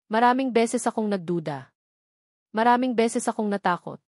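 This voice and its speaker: A woman's voice: on the high side, lively intonation, moderate pace, a little mellow, dry recording, clean studio sound, close to an everyday voice. A woman voicing a tender, heartfelt, emotional bride in a romance story. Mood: moved. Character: tender, emotional bride, once fearful, now happy.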